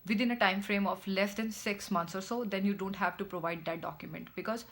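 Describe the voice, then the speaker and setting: A woman's voice: mid-range voice, brisk, a bit bright. A young woman with an Indian accent, explaining step by step to her viewers in a vlog, often repeating her words. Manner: instructive, repeats phrases, speaks in short stepwise chunks. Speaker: vlogger.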